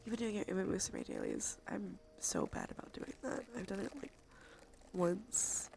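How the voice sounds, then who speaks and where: A woman streaming soft ASMR chat, often slipping into a whisper, sniffly with allergies and a sore throat, casual and affectionate with some swearing. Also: mid-range voice, huge pitch swings, moderate pace, slight background noise. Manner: breathy, frequent drops into whisper. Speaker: livestream host.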